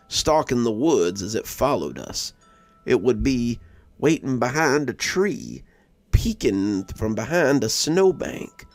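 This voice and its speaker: A man narrating a scripted horror story in a measured, brooding, dramatic manner. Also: fairly low pitch, no echo. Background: quiet background music.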